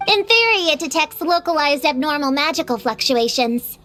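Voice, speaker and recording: A woman's voice: high voice, lively intonation, moderate pace, loud music behind the voice. A woman playing a proud, defensive young girl witch hunter in a video game, with a childlike voice and a self-important manner. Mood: determined. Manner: self-important, hesitant when bluffing.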